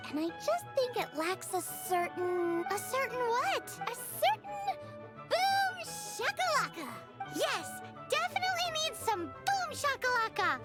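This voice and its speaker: A woman's voice: very high voice, heavily acted. A woman voicing a spirited teenage girl chef in a video game, bubbly, slangy and emphatic. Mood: pondering, playful. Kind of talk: video game character acting.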